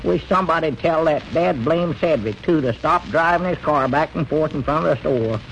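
An actor playing a nervous small-town storekeeper who stammers and frets, speaking in a country dialect, old-time radio comedy style. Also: male voice, on the high side, moderate pace, creaky, muffled recording, slight background noise. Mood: fretful. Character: jittery middle-aged small-town storekeeper. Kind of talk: 1930s radio comedy acting.

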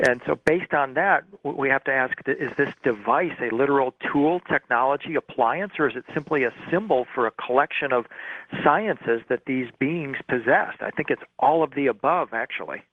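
A man's voice: medium pitch, moderate pace, dry recording. A man explaining his research earnestly and with assurance as a radio interview guest, heard over the telephone. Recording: tinny narrowband phone line.

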